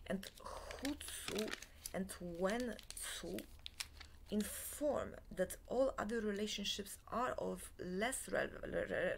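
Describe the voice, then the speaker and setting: A woman in her forties, a Polish native speaker, giving a halting, half-whispered talk into a laptop webcam in a small bed-and-breakfast room. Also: mid-range voice, gravelly, slight background noise. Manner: halting, many filler pauses, often half-whispered. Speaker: self-help lecturer. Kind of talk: slow unscripted monologue to webcam.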